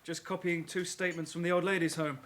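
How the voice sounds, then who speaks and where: An actor playing a polite young British detective constable in a radio drama, with a slightly hesitant, enquiring manner. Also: male voice, on the high side, little pitch movement, fast talker, background noise, lightly acted. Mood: easygoing. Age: young adult.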